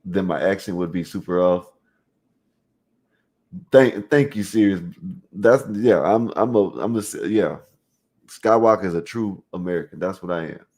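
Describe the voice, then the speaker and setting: Deep-voiced dude from florida hosting a call-in stream, reading chat out loud, lots of "you know what i mean". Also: male voice, normal speed, long pauses. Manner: loose street slang, frequent "you know what I mean" fillers; rambling with restarts, talking straight to viewers. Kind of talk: casual chat reading out live chat.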